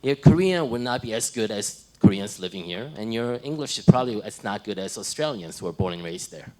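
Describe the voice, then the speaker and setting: A man's voice: lowish voice, expressive, brisk, dark tone, rough recording. A man interpreting a monk's answers into English, in a plain, slightly hesitant way.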